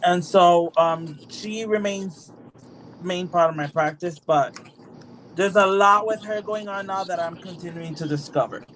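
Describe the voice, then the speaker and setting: A woman's voice: fairly low pitch, unhurried. A woman from Puerto Rico, a guest on a livestream, chatting casually with many "like"s and "um"s. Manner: relaxed, many fillers like "like" and "um". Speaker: guest practitioner. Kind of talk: casual show-and-tell chat. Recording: noisy livestream audio.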